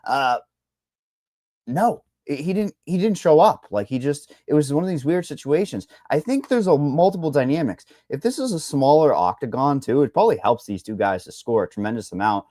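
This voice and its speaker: A man's voice: fairly high pitch, animated, moderate pace, warm tone, dry recording. A man with a thick Boston accent breaks down fight odds in a loose, rambling way, dropping blunt asides as he goes. The sound is dry and close, with no room around it. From Boston, Massachusetts. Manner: rambling, hedging, salty asides. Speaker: MMA betting analyst. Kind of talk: casual betting chat.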